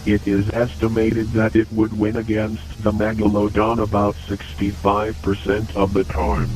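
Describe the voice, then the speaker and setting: Man playing a deep, deadpan emergency news announcer. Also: lightly acted, poor audio. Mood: grave, formal. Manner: formal, deadpan, measured. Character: grave male emergency news announcer in horror video. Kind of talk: scripted analog-horror news bulletin narration.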